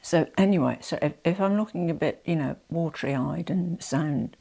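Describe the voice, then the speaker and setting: A woman's voice: deep voice, normal speed, creaky, slightly rough. A woman chatting through a handbag collection vlog while nursing a head cold, sounding nasal and rambling with lots of asides. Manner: rambling, frequent "you know" asides, drops to soft near-whispers. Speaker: vlogger showing her handbag collection.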